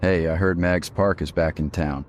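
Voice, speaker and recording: Actor as male imagined dead father, middle-aged, audio drama: deep bass register, chiding, teasing, fond. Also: steady tone, moderate pace, slight background noise, natural voice. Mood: chiding, probing. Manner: dry, chiding.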